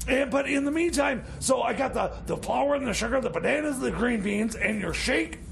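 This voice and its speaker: A man playing a bumbling, excitable handyman sidekick in radio comedy, stammering and repeating himself in a gravelly voice. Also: very high-pitched, moderate pace, a little hiss or hum, heavily acted. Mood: scheming, eager. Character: bumbling, excitable backwoods handyman sidekick building a whiskey still. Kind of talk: comedy radio theater sketch.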